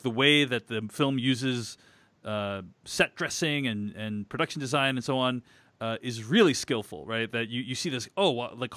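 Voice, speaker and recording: A man's voice: medium pitch, a bit bright, no echo. A man hosting a podcast, talking through a film in a rambling way with many restarts and fillers. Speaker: podcast host. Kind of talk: casual film discussion.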